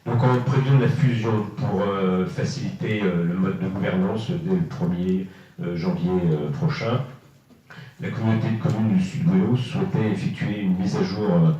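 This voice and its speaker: A man with a low, mellow voice presents to a municipal council as a councillor. He explains step by step, haltingly, with many drawn-out hesitations. The sound is heavily echoing, picked up by a distant microphone. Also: fairly even intonation. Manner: halting, hesitant delivery with frequent long filler pauses. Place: reverberant indoor meeting room. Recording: echoey, muffled room, distant mic.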